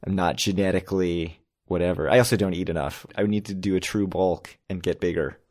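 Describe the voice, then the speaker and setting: An American man co-hosting a casual podcast, in a fairly low voice. He rambles and often laughs at himself. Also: fairly even intonation, fairly quick, a little mellow. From United States. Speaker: podcast co-host. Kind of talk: casual chat.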